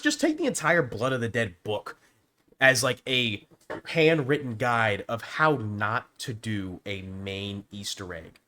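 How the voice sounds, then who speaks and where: A man with a sore throat, sounding hoarse as he gives rambling, casual opinions on a video game, often restarting his sentences. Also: medium pitch, sharp tone. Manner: rambling with frequent restarts and stammers. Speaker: gaming youtuber. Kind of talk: casual opinion commentary to camera.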